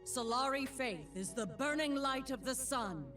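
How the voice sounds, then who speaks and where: A woman's voice: high-pitched, lively intonation, a little slow, recorded from a distance, over music. An actress playing a proud, devout sun warrior woman in a video game, earnest and stately as she declaims.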